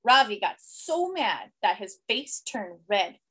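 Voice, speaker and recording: A woman in her thirties reading a children's picture book aloud expressively, with deliberate, simple phrasing and emphasis. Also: mid-range voice, big pitch swings, a bit bright, breathy edge. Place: small indoor room.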